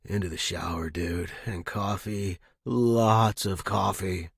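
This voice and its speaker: Husky hungover young guy muttering as read by a man. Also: sits low, fairly even intonation, slow pace, dry recording, clean studio sound, full character voice. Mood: weary. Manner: muttering, exclamatory self-talk. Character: groggy hungover young man, crude and alarmed.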